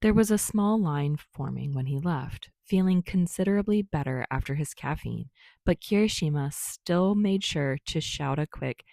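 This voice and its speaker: Female audiobook-style narrator, low pitched, dry close sound, telling a scripted story. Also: expressive, moderate pace, vocal fry, dark tone, no echo. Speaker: fan fiction narrator.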